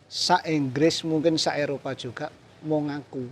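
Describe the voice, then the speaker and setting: A man's voice: on the high side, a little slow, a little hiss or hum. A man from Central Java with a Javanese accent, chatting casually as a guest puppeteer and chuckling often. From Central Java, Indonesia. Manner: relaxed, mixes Javanese and Indonesian, chuckles often. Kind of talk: casual interview chat.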